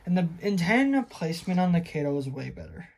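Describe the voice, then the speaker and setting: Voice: mid-range voice, big pitch swings, fast, gravelly, darkish tone. A person giving a casual, hands-on gadget review as a co-host, explaining things conversationally and asking questions. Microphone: phone filming close by. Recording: noisy amateur phone recording with static.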